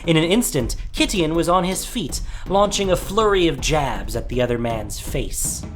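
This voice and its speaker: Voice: mid-range voice, lively intonation, moderate pace. A narrator reading a scripted fantasy story in a dramatic audio drama style. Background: soft music.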